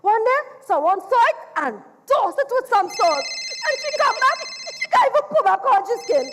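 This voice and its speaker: Vain old Trinidadian temptress spirit acted by a woman, very high pitch, scornful, animated, stage comedy. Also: normal speed, noisy background. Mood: gossipy, mocking. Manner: scornful, exclamatory. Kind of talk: theatrical stage dialogue. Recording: echoey theatre hall.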